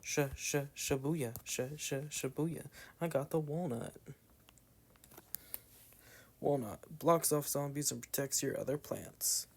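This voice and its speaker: A man's voice: a little high, slow, creaky, long pauses. A young man with a Southern American accent, chatting in a meandering way while playing a video game. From Southern United States. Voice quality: meandering with frequent "like" fillers and trailing asides. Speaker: gameplay commentator. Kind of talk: rambling casual commentary.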